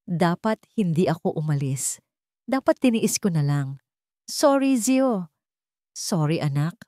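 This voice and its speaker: A woman's voice: fairly low pitch, big pitch swings, measured pace, mellow, no echo. Casting: female narrator as anguished young mother, guilt-ridden and wounded, radio drama delivery, dry sound. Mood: guilty, grieving. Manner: anguished, emotional. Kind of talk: Tagalog radio drama storytelling.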